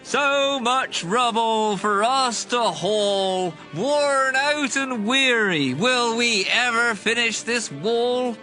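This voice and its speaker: A man playing a worn-out laborer who sings a mournful, drawn-out lament. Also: very high-pitched, sharp tone, faint music behind, exaggerated voice. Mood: weary, sorrowful.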